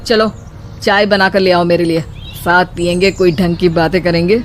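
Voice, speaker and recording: A woman's voice: on the low side, steady tone, normal speed, natural voice. A woman voicing an easygoing, dismissive husband in casual dialogue for a cartoon moral story. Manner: breezy, colloquial, brushing off complaints. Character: easygoing, dismissive married adult son. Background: background music.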